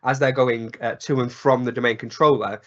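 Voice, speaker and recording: A man with a croaky voice from a cold walks through a technical webinar at a mid pitch. He talks in loose spoken phrasing, full of ums and uhs. The call audio sounds slightly dull. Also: fairly even intonation, a little fast, fairly bright tone. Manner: many ums and uhs, restarts. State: hoarse from a cold. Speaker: presenter. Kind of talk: webinar presentation.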